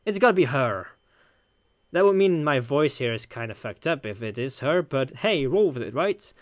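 A man's voice: medium pitch, animated, moderate pace, no room sound, studio quality. A man hosting a gaming video, reading a visual novel aloud in a theatrical way with jokey commentary. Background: game music.

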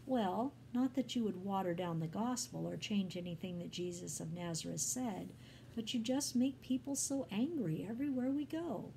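A woman's voice: mid-range voice, moderate pace, gravelly, mellow, slight background noise, natural voice, poor audio. A woman voicing an anxious, cautious young male companion in a story, worried and pleading.

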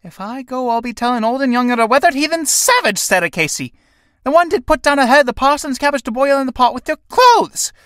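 A woman's voice: medium pitch, animated, normal speed, dry recording, clean studio sound, pitched above the performer's own voice, lightly acted. A woman reading a play aloud and voicing a sly, drink-loving old tinker woman with a mocking, wheedling manner. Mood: scornful.

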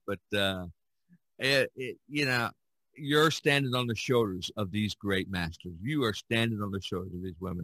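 Bright-voiced male podcast host, rambling. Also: on the low side, no echo, rough recording. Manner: rambling, repeats himself. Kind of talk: casual podcast chat.

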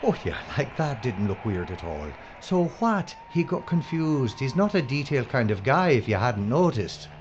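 A man's voice: medium pitch, big pitch swings, moderate pace, few pauses, close to an everyday voice. A man acting a grumbling, sarcastic, anxious film presenter in an audio drama. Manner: complaining, sarcastic. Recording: muffled recording with clear noise.

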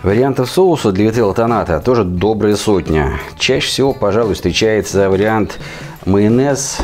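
A man in his forties, hoarse with a cold, giving casual, colloquial recipe instructions as a cooking show host. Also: fairly low pitch, a little mellow. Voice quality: hoarse, husky. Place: home kitchen. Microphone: lavalier clipped to T-shirt. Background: guitar music.

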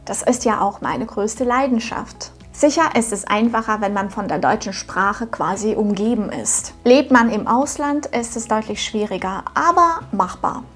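A woman's voice: fairly high pitch, animated, moderate pace, few pauses, a bit bright, breathy edge, soft background music. A woman in her thirties, not a native speaker, teaching a scripted video lesson to camera from a home studio in an upbeat, encouraging way. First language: not German. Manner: upbeat, encouraging, addresses viewer directly. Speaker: German teacher, channel host.

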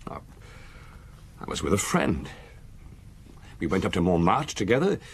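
An actor playing an earnest, lovestruck young junior detective in a radio drama, British, husky, hesitant and deferential. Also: male voice, deep voice, sing-song, unhurried, slight background noise, full character voice. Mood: hesitant, defensive.

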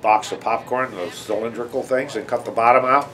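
A man's voice: medium pitch, fairly quick, fairly bright tone, distant mic. An older man reminiscing in an interview.

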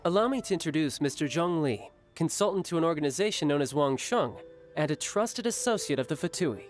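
A man voicing a young, confident, sly charmer who talks smoothly in a video game. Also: on the high side, lively intonation, brisk, soft background music, lightly acted. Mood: suave, knowing. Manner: smooth-talking, self-assured. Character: young charming male harbinger, confident, sly, breezy schemer. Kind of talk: video game character voice acting.

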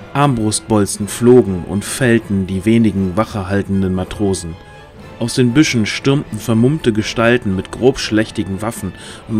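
A man's voice: on the low side, darkish tone. A man narrating a fantasy audio drama in a dramatic, scripted storytelling style. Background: music.

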